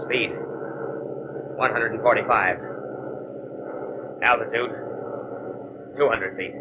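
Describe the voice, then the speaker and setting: A man's voice: mid-range voice, steady tone, takes it slowly, natural voice. An actor playing an airplane crewman calling out landing readings in a 1950s radio drama. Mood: terse, tense. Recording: noisy, muffled old radio recording.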